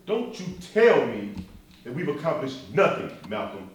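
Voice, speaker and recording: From far back in a heavily echoing hall, a male stage actor plays a dignified, earnest preacher and civil rights leader. His mid-pitched male voice moves in a measured, emphatic pulpit cadence, building through repeated phrases. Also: very animated. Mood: impassioned, wounded. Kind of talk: live stage play acting. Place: stage in a reverberant room, recorded from afar. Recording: echoey hall, distant microphone.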